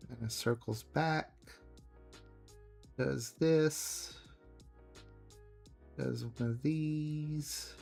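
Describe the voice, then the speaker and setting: A man streaming himself drawing, chatting casually and softly, often trailing off mid-thought as he works. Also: on the high side, steady tone, extremely slow, vocal fry, long pauses. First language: English. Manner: soft, musing, trails off mid-thought while working. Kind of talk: casual chat while drawing. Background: faint background music.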